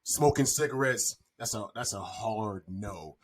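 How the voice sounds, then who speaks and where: A man's voice: on the low side, expressive, unhurried, breathy edge. Candid forty-something man, casual podcast talk. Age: 40s. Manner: candid, slangy, rambling.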